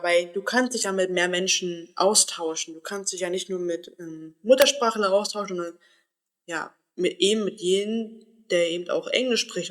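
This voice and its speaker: A man's voice: high-pitched, fairly bright tone. A man talking candidly in a personal vlog, thinking aloud and searching for words as he goes. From Berlin area, Germany. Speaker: vlogger. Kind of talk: personal reflective monologue.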